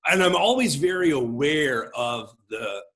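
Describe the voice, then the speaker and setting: A man in his forties with a big voice, telling his own story as a pastor and guest in an expressive, gregarious, halting conversational way. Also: on the high side. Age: 40s. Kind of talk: reflective personal storytelling in conversation.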